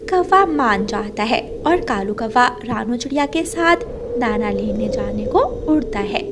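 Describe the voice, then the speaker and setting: A woman tells a children's story as a scripted narrator. Her voice is fairly high, and the recording carries heavy noise behind her. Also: lively intonation, a little slow.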